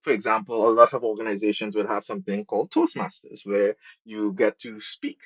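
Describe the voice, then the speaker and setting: A man's voice: lowish voice, very animated, fairly quick, breathy edge, dry recording, lo-fi sound. A man from Trinidad and Tobago with a Trinidadian accent, speaking conversationally as an interview guest. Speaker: podcast guest, program manager and musician.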